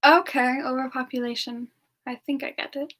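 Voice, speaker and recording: A woman playing a sweet, affectionate young female frost demon, soft-spoken and hesitant, answering questions in a semi-ASMR live stream. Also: sits high, steady tone, moderate pace. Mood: gentle, chatty.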